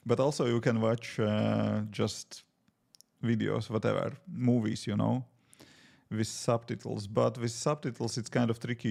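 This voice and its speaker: A man with a Latvian accent talks in a relaxed, thinking-aloud way, with plenty of fillers. His voice is low and heavily gravelly, with a mellow, dark tone. He sounds like a developer chatting casually while he works at his desk. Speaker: app developer streaming live. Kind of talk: casual live-stream chat while coding. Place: home desk.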